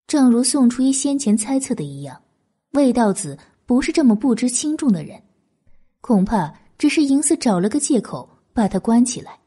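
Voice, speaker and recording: Female audiobook narrator, highish and expressive, husky edge, dramatic period fiction. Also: normal speed. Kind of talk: scripted dramatic novel narration.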